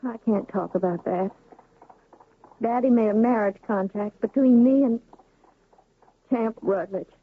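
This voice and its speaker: Guy putting on a high young ranch girl, kinda husky, old tinny radio sound. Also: unhurried, pauses often, heavily acted. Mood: resigned. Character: young ranch daughter, woman, dutiful. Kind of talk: 1970s radio drama acting. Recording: lo-fi phone-band old radio recording.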